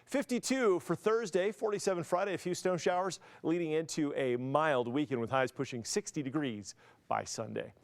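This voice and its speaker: A man's voice: a little high, moderate pace. An American man presenting a TV weather forecast in a chatty, explanatory way. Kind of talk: conversational broadcast weather forecast.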